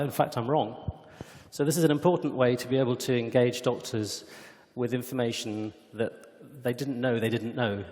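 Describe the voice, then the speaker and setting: A man giving a product demonstration at a conference, explaining in a measured way, recorded in a reverberant hall. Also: medium pitch, a little mellow. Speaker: company executive presenting a product demo. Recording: echoey hall with long reverb.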